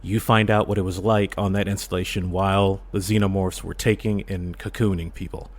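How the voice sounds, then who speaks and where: Man, low voice, animated storyteller, podcast guest, casual chat. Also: brisk. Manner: animated storytelling, acts out reactions. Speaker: podcast guest, audio drama creator and film reviewer.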